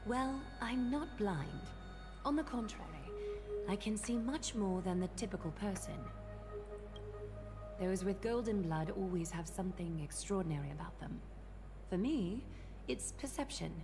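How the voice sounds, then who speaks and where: A woman's voice: medium pitch, lively intonation, unhurried, music in the background. A woman voicing a serene, gracious seer of a holy city, speaking formal, poetic fantasy game dialogue.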